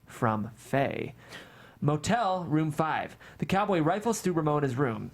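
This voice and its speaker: Animated screenplay narrator. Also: mid-range voice, unhurried. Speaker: stage-direction narrator. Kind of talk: scripted narration at a table read.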